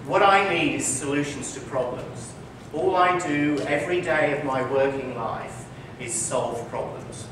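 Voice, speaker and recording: A man's voice: a little high, a little slow, hardly any pauses. A man, a physician, answering interview questions on a conference stage, speaking in an articulate, emphatic way and repeating his key points. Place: conference hall before an audience. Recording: echoey hall, distant mic, noisy.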